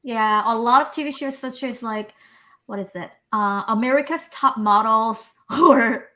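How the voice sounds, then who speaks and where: Bright-voiced woman in her thirties. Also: on the high side, unhurried. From South Korea. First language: Korean. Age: 30s. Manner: giggly, hesitant, searches for words. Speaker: guest. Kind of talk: casual interview chat.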